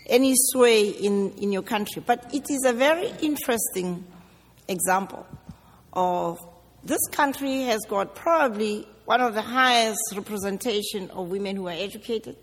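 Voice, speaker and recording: Brief: South African woman, guest speaker at a public Q&A, deliberate, emphatic delivery, long hall reverb. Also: fairly high pitch. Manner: deliberate, emphatic, rhetorical questions. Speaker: guest speaker answering audience questions. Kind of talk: public talk, question and answer.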